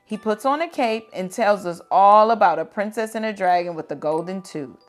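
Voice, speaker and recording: A woman reading children's picture books aloud in an expressive, dramatic and deliberate storytelling style. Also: medium pitch, soft background music.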